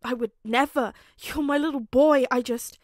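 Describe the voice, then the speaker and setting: A remorseful supervillain father, read by a woman in a story. He speaks haltingly, with pain and tenderness in every phrase. The recording is dry and close. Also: high voice, fairly even intonation, dry recording, close to an everyday voice. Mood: pleading. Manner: slow, halting, emotional.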